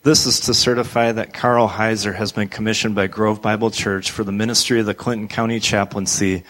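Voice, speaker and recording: A man's voice: lowish voice, little pitch movement, fairly quick, a bit bright. A male church leader officiating at a ceremony, making plain announcements and presentations. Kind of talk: informal ceremony announcements and formal reading.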